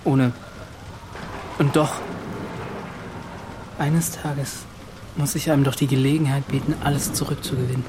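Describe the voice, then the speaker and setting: An actor playing a troubled, proud and nervous young man in a German radio play, speaking in halting, broken phrases. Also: medium pitch, flat delivery, lightly acted. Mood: proud, defiant. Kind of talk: German radio drama acting. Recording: clear rain noise behind the voice. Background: rain.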